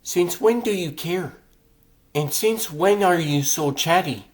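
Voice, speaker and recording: A man's voice: on the high side, slow pace. A man playing a moody, defensive teenage boy hero in a comic dub, with an irritable, muttering manner. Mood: suspicious.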